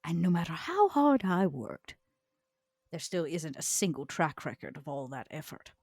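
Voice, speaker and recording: A shrewd, proud female vampire , acted by a woman with a lively, swooping delivery. She is theatrical and cutting, choosing words deliberately, in a dry close recording of a tabletop game. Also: deep voice, creaky, slightly rough, no room sound, full character voice. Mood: bitter, proud. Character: Vera, proud, shrewd, long-lived female vampire enforcer. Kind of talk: improvised tabletop roleplay.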